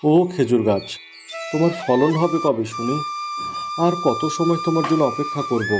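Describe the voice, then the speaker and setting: Guy doing a plaintive village farmer in a fairytale cartoon, earnest, super animated pitch, music in the back. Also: normal speed. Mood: yearning. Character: wistful, earnest village farmer devoted to his tree. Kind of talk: Bengali fairy tale cartoon voice.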